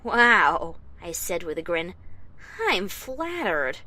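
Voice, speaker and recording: A woman playing a wry, defiant adventurer heroine, narrating her story in the first person with expressive, dramatic delivery. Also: fairly low pitch, takes it slowly, dry recording, heavily acted. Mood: defiant, amused.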